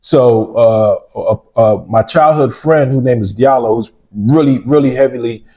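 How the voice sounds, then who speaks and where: Interview guest, man in his forties, warm low-key delivery, storyteller. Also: moderate pace. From United States. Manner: relaxed storytelling with frequent "right?" asides. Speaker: interview guest, real estate developer. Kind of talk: casual interview.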